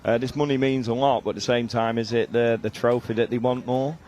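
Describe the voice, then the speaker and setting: A man's voice: medium pitch, steady tone, fairly quick, darkish tone, a little hiss or hum. A man talking as a pundit in casual studio chat, filling with "you know". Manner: chatty, many fillers.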